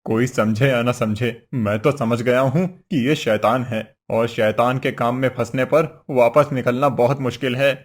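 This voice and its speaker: A man's voice: mid-range voice, steady tone, normal speed, few pauses, close to an everyday voice. A man voicing a poor, simple woodcutter husband in a cartoon story, earnest, meek and fretful. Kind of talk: Hindi cartoon story voice acting.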